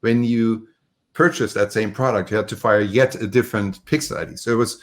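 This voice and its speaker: A man from Germany, a guest on a remote interview, telling his story in a relaxed, rambling way with many fillers. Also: lowish voice, brisk. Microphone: video call.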